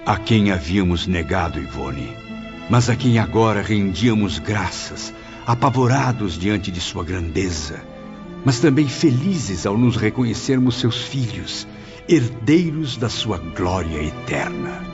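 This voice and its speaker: A humbled, marveling spirit tells his story in an audiobook, voiced by a man. His low voice is highly expressive, dramatic and reverent. Music plays clearly behind the slightly muffled recording. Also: a little slow. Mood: humbled, grateful. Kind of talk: first-person audiobook narration.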